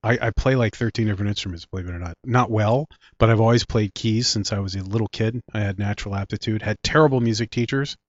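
A man's voice: lowish voice, quick pace, few pauses, no echo. A man in his late forties with a Philadelphia accent, a guest telling stories in a dry, facetious way while congested from a sinus infection. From Philadelphia area, US. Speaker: guest, director and writer. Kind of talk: casual interview chat. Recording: dry, dull lo-fi sound.

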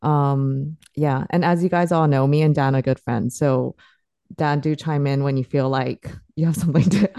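A woman's voice: deep voice, steady tone, moderate pace, warm tone, dry recording, clean studio sound. A woman hosting a podcast in a casual, conversational way, with informal phrasing. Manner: informal, peppers talk with "like". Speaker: podcast host.

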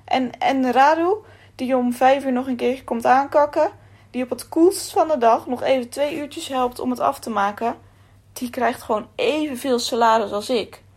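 A woman voicing a furious male laborer who rants loudly about unfair pay. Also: on the high side, fairly even intonation, moderate pace. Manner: loud ranting complaints.

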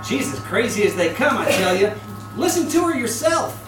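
An actress in an old-time radio drama playing a brash, baffled police officer with an emphatic, exasperated delivery. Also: female voice, a little high, sing-song, normal speed. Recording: roomy distant stage, loud music behind.

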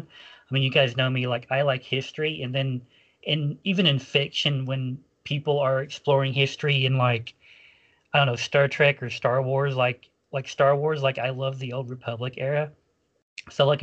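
A man's voice: mid-range voice, fairly bright tone, muffled recording. A man co-hosting a casual fan podcast. He rambles, packs in "like" fillers and often restarts his sentences. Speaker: podcast co-host.

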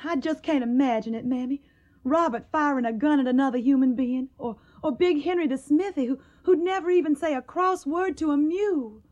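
A high-pitched young Tennessee woman played by an actress in a radio drama. She has a Southern accent and a tender, earnest way of talking. She sounds troubled and wistful, with a stubborn streak. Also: fairly quick, a little mellow, dull audio, close to an everyday voice.

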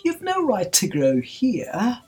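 A woman's voice: mid-range voice, wildly swooping pitch, slow, a little mellow, slightly rough, soft background music, pitched above the performer's own voice, exaggerated voice. A woman voicing a small, sulky, drowsy dormouse who complains, in a storybook reading. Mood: sulky complaining.

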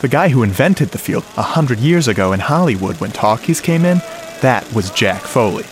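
Male actor playing an audio-geek sound man, radio drama, mid-pitched, casual and eager explainer over music. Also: sing-song, normal speed, natural voice. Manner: casual, explanatory, rhetorical questions to listener. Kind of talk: conversational radio drama monologue.

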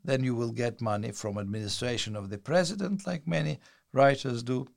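A man from Ukraine, a Russian speaker, answering interview questions in deliberate, hesitant phrases with repeated words. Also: mid-range voice, gravelly. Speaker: writer being interviewed.

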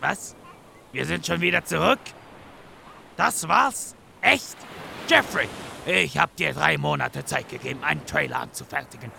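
A man's voice: fairly high pitch, unhurried, creaky, a little hiss or hum, full character voice. An actor playing a pompous, irritable narrator who bosses his assistant around, theatrical and exasperated, in a comic audio drama. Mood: outraged. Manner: theatrical, exasperated, swinging from muttering to shouting.

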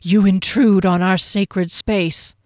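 A woman's voice: mid-range voice, fairly even intonation, slow pace, dry recording, studio quality, natural voice. A woman voicing a menacing ethereal cave guard who interrogates and commands with a hissing, accusing delivery in a fantasy audiobook. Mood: stern, threatening.

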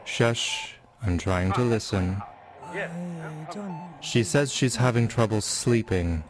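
A man's voice: on the low side, takes it slowly, crowd noise. A man playing a grumpy, passive-aggressive fat cat robot, snippy and put-upon, in a comedy sci-fi audio drama.

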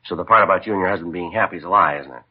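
Muffled 1950s radio, deadpan American cop, deep voice, methodical, matter-of-fact. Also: male voice, very fast, husky. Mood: methodical, pressing. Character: dry, methodical, polite police detective. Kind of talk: 1950s radio crime drama acting.

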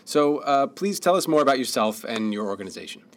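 A man's voice: mid-range voice, animated, fairly quick. A man hosting a radio interview, reading a prepared intro and then asking casual questions. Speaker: host, interviewer.

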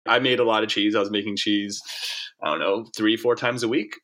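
A man's voice: lowish voice, fairly even intonation, a little fast, a bit bright. A man from Hong Kong who was raised there, a farmer being interviewed, talking casually in long, rambling answers full of fillers and restarts.

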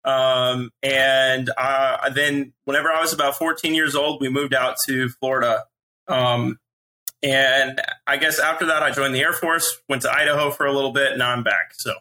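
A man's voice: mid-range voice, steady tone, moderate pace, pushed and bright, poor audio. A young man from Texas, an esports journalist guest, answering interview questions in an easygoing way. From Texas, USA.

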